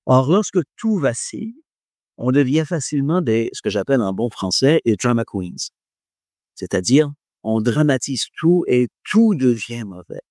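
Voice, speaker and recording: A man's voice: medium pitch, big pitch swings, a little slow, a little mellow, dry recording, clean studio sound. A man gives a reflective talk on faith and philosophy to an audience, hesitating often and joking about himself. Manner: hesitant, many fillers, self-deprecating humour.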